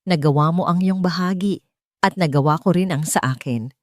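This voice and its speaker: A woman's voice: on the low side, normal speed, no room sound, clean studio sound, natural voice. A woman voicing a kind, decisive wealthy businessman in a story, assured and earnest. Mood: firm, earnest.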